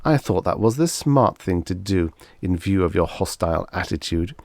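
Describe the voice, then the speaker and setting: A man's voice: sits low, expressive, normal speed, darkish tone, no echo. A man voicing a cocky, defiant human prisoner, flippant and mock-innocent, in a pulp science fiction audiobook. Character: cocky, defiant male human prisoner taunting his alien captors.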